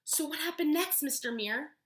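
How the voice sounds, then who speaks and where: A woman's voice: sits high, expressive, normal speed, fairly bright tone, slight background noise, voice pushed up. A woman voicing a curious, eager little girl who asks questions in a raised voice, in an echoey room.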